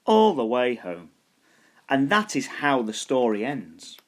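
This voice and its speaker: A man reading a children's story aloud as scripted narration, deliberate and expressive, stretching words for emphasis. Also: mid-range voice. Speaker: storybook reader.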